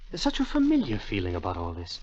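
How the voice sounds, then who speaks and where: A man's voice: on the low side, huge pitch swings, fast, darkish tone. An actor playing an eager, driven young man obsessed with his quest, earnest and intense in a 1940s radio drama style. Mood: brooding wonder. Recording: muffled 1940s radio broadcast.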